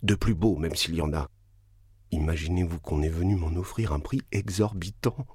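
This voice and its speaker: A man doing low husky banker baron, soft and smoothing things over. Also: very animated, normal speed, no echo, studio quality. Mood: placating. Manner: placating, hushed.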